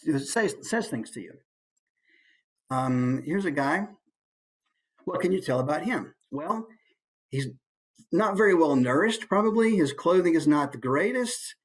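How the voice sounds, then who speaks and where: An American man, a voice actor, giving an informal instructional talk and musing aloud through examples. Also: a little high.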